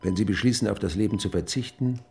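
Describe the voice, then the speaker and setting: An actor playing a grave, conscientious doctor in a German radio drama, speaking in a deliberate, solemn, measured way. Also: male voice, on the low side, darkish tone, close to an everyday voice. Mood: grave, insistent.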